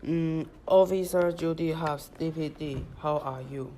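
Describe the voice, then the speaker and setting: An earnest young rabbit police officer played by a woman in an amateur radio play. Her voice is low for a woman and politely impatient, with faint noise in the recording. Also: mellow. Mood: polite, restrained. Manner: polite but impatient, hurried.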